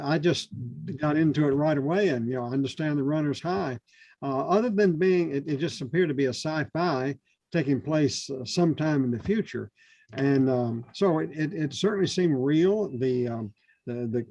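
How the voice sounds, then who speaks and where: A man giving spoken feedback on a manuscript to a writers' panel, halting and full of fillers and restarts. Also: mid-range voice, darkish tone, dry recording, muffled recording. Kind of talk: casual spoken critique.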